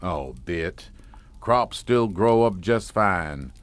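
Sinister, courtly old Southern gentleman, low-voiced, drawling with wide swings, for audio drama. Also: animated, clear, resonant tone, full character voice. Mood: folksy, ominous. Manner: slow, drawling, folksy courtliness.

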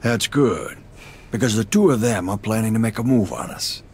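An actor playing a calculating, middle-aged mafia boss in a video game, speaking with measured authority. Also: male voice, on the low side, darkish tone, slightly rough. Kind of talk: video game cutscene acting.

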